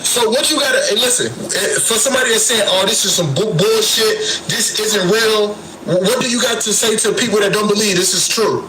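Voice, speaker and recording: A man's voice: high-pitched, a little fast, few pauses. A man in a casual phone conversation, blunt and slangy, often repeating his words. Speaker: content creator taking a call. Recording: noisy replayed phone call clip.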